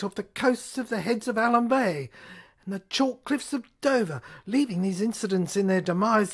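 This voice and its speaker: A woman's voice: on the high side, a bit bright. Woman delivering a dramatic, theatrical monologue. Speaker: narrator and performer of an audio play.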